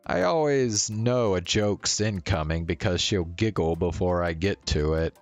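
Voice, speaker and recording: A man's voice: sits low, animated, a little slow, soft background music. A man streaming a visual novel, reading aloud and chatting in a casual way. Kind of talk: narrated read-aloud and casual sign-off.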